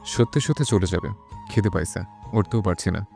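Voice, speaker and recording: A man acting a very deep, gravelly brusque young man. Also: expressive, fairly quick. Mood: demanding. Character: brusque, bossy young man secretly in love. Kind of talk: romantic audio story acting. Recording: soft music behind the voice.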